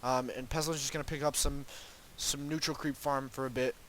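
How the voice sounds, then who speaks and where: A man's voice: medium pitch, little pitch movement, fast, a bit bright, a little hiss or hum, low-quality recording. A young man with a cold, sounding congested, casting a video game match alone, rambling and hedging with many ums and restarts. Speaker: game caster. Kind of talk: solo play-by-play esports commentary.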